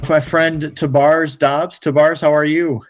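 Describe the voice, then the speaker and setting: A man's voice: a little high, flat delivery, unhurried, soft background music. An American man hosting a podcast in a casual, conversational style. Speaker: podcast host.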